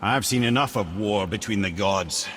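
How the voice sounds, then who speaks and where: An actor playing a witty, worldly old sage, telling tales in a theatrical, wry and lyrical way in a video game. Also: male voice, fairly low pitch, normal speed, fairly bright tone, slight background noise, lightly acted. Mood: lyrical, solemn. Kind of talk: dramatic game storytelling.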